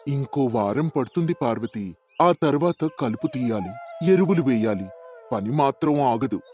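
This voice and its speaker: A man's voice: mid-range voice, lively intonation, normal speed, a little mellow, lightly acted. A man playing a kind, hardworking village farmer in a storybook tale, earnest and reassuring.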